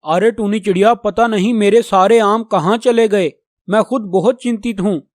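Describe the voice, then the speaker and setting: A man's voice: high-pitched, moderate pace, dry recording, studio quality, natural voice. A man playing a proud, grudging talking mango tree in a children's cartoon, speaking emphatically. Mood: worried, sorry.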